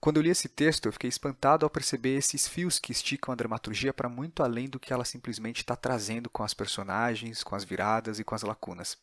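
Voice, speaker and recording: Male podcast host, Brazilian accent, mid-pitched voice, very dry recording, conversational interview delivery. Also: fairly even intonation, fast, hardly any pauses, fairly bright tone, dry recording.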